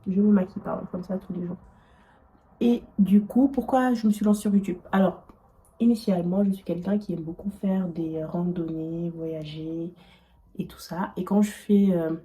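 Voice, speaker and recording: A woman's voice: lowish voice, steady tone, normal speed, pauses often, warm tone, low-quality recording. A young woman in her twenties with a cold, chatting casually while doing her makeup, rambling with many fillers and restarts. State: has a cold. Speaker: beauty vlogger.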